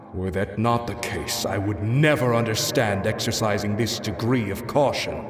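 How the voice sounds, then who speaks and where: A man voicing a grim, wrathful ancient warrior in a video game, solemn and weighty in delivery. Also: fairly low pitch. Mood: grave, reproachful. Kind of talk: dramatic video game voice acting. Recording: echoey long reverb under music.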